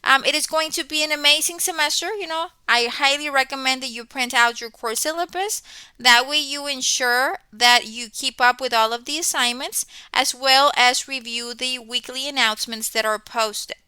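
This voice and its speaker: Bright, high-voiced middle-aged woman instructor. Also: normal speed, clean tone, dry recording. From born in Mexico, grew up in Texas, USA. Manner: enthusiastic, explanatory, often checking in with okay. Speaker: college accounting instructor. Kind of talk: welcome announcement to students, semi-scripted talk.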